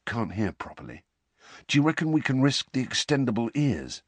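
A man's voice: medium pitch, sing-song, normal speed, breathy edge, no room sound, voice pushed up. A man voicing a cheeky, mischievous teenage boy with a wry tone, in an audiobook.

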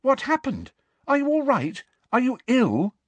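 Guy doing a worried earnest teen girl, high voice, lots of up and down, pleading, audiobook. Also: very animated, normal speed, husky, no echo. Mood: alarmed. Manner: worried, hesitant yet persistent. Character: anxious, earnest teenage girl witch, reasoning and pleading. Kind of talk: audiobook character acting.

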